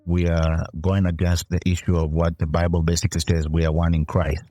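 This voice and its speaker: Male co-host, very low voice, earnest and preacherly, dry close recording. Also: monotone, brisk, warm tone, no room sound, soft background music. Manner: earnest, preacherly, builds his point. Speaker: podcast co-host. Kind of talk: casual chat on faith.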